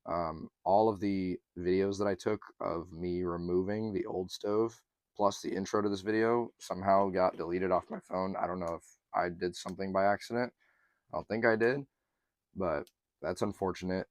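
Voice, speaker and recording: A man, slightly hoarse, chatting casually through a van stove install, with lots of ums and throat clearing. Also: low voice, steady tone, moderate pace, no echo. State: slightly hoarse, losing his voice. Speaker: van life vlogger. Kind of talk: casual how-to narration to camera.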